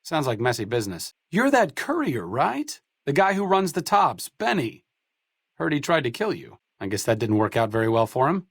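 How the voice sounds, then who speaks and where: A man's voice: medium pitch, sing-song, moderate pace, slightly rough, no echo. A man playing a wry, bookish idealist in a video game, with a dry, erudite and sardonic way of talking. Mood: dryly sarcastic. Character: wry, bookish male idealist medic, dryly sarcastic. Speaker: companion character in a role-playing video game. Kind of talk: video game character dialogue.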